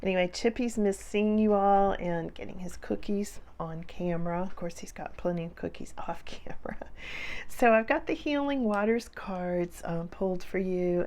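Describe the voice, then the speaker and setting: A slightly hoarse woman giving a casual, chatty tarot reading, speaking directly to her viewers and repeating phrases for emphasis. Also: medium pitch, darkish tone. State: slightly hoarse after a cough. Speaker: tarot reader and channel host.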